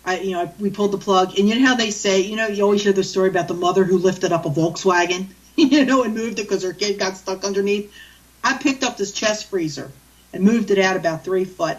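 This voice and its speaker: A woman's voice: mid-range voice, brisk, far from the mic, poor audio. A woman, a guest animal communicator, telling stories in a rambling, chatty way with many restarts. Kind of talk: storytelling in a radio interview.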